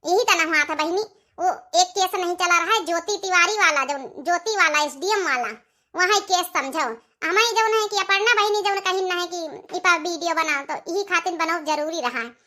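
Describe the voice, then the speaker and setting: Woman playing an earnest, very shrill village sister-in-law, Awadhi accent. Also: normal speed, bright, forceful tone. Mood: moralising. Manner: earnest, repetitive, dramatic scolding and coaxing. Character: outspoken village sister-in-law, earnest, moralising, family-minded. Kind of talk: comic village cartoon dialogue.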